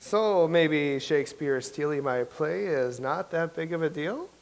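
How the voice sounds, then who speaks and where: Grumbling, highish-voiced male playwright played by a man. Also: expressive, measured pace, creaky. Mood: puzzled. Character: grumpy, bitter English playwright from centuries past, envious and combative. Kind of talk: staged play reading, comedic.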